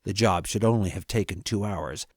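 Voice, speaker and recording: A man narrating a scripted horror story in the first person as a haunted grandson, with measured, dramatic delivery and deliberate pauses. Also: low voice, no echo, studio quality. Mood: tense, fearful. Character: haunted grown grandson recounting a frightening visit. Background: music.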